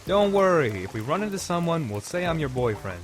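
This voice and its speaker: A man's voice: a little high, very animated, brisk, music in the background. A man voicing a smug, scheming teenage boy in an anime fan dub, wordy and theatrical. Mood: smug, playful. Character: scheming, smooth teenage boy angling for student council president.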